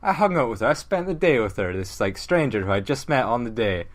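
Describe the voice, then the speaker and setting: A young man co-hosting a casual comedy podcast, chatting and telling stories in a halting, giggly way. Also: medium pitch, lively intonation. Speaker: podcast co-host.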